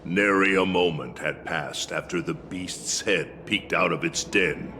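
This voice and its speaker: Deep, slow old warrior mentor. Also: male voice, exaggerated voice. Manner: grand, theatrical storytelling drawl. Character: wily old male warrior mentor, gruff and teasing. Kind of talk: video game voice acting. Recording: long reverb under loud music.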